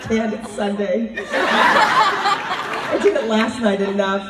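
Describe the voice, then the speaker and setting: A woman in her thirties, slightly hoarse, answering fan questions at a convention panel with laughing, animated storytelling. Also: a little high, slow pace, a loud crowd, very noisy. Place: large convention hall with audience. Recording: roomy hall recording from far off.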